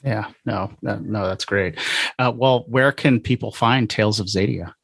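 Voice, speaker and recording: A man's voice: fairly low pitch, moderate pace, fairly bright tone, husky, dry recording. A man hosting a podcast in a conversational style, speaking directly to guest and listeners. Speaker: podcast host.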